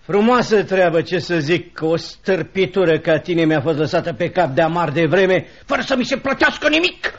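An actor playing a cruel, greedy middle-aged schoolmaster in a radio drama, sneering and chuckling with false heartiness. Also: male voice, high voice, lively intonation, hardly any pauses. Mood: scornful, bullying. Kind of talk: theatrical Romanian radio drama. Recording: muffled radio recording with hiss.

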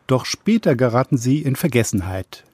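A male radio reporter reading a scripted portrait narration in a composed, even manner. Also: medium pitch, normal speed, slightly rough, no echo.

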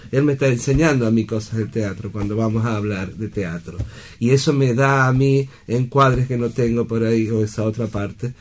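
A man's voice: medium pitch, moderate pace. A man with an Argentine accent and a mid-range voice talks in a casual interview. He sounds earnest and reflective, like a director explaining his craft, and often checks for agreement. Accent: Argentine Spanish. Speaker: guest, theatre director. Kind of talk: casual studio conversation.

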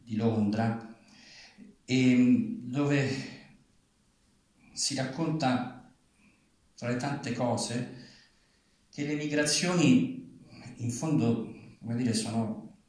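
A man speaking and reading at a live literary event, with a halting delivery. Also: medium pitch, darkish tone. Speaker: writer reading at a public event. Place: large reverberant venue, live event. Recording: very echoey hall, distant from microphone.

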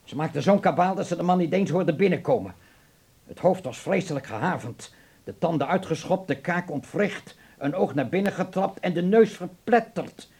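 A man's voice: sits high, expressive, normal speed, a little mellow, breathy edge, exaggerated voice. An actor playing a sombre family man reading a newspaper aloud in a radio drama. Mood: grave, disgusted.

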